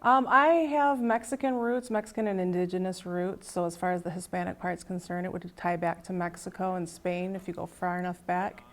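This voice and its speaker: A woman interviewee speaking thoughtfully, with frequent ums and slow pauses. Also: fairly low pitch, level tone.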